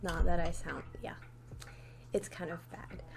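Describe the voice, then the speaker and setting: A woman's voice: lowish voice, steady tone, a little slow, gravelly, noisy background. A young woman vlogger chatting casually to camera with a slight lisp from a new retainer, rambling with lots of "like" and restarts. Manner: rambling, many "like"s and restarts, light laughs.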